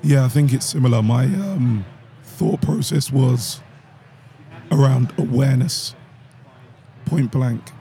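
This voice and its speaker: A man with a husky voice today, a guest founder speaking thoughtfully in a podcast interview, pausing with fillers. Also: medium pitch, dark tone. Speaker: guest, founder of a talent platform.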